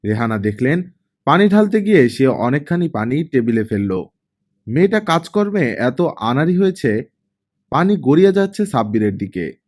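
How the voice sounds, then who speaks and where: Mellow, animated man narrating a story. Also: mid-range voice, normal speed. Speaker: audiobook narrator. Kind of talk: scripted story narration, dramatized dialogue.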